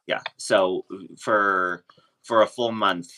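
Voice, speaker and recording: Man, deep pitch, sharp bright tone, casual interview guest, halting delivery. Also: steady tone. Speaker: interview guest sharing personal experience. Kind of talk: casual chat.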